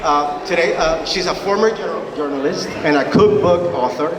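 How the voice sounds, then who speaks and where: A man's voice: fairly high pitch, moderate pace. Man hosting on stage, crowd chatter behind. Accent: non-native English. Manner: friendly, brief prompts and thanks. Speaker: event host, interviewer. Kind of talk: hosting onstage interview. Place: large expo hall. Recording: echoey hall, mic far off, crowd noise.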